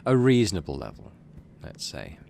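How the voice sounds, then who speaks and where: A man's voice: sits low, wildly swooping pitch, moderate pace, vocal fry, noisy background. A man doing casual game commentary, thinking aloud in a hesitant, rambling way. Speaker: video game let's player.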